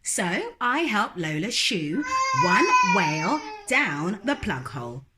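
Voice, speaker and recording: A woman reading aloud as a storybook narrator, in an animated style made for children. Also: mid-range voice, slow pace, very noisy. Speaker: storybook reader.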